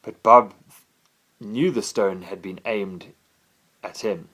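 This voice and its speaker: A man's voice: fairly low pitch, slow, fairly bright tone, slightly rough, low-quality recording. A man reading a children's bedtime story expressively, as a father to his child.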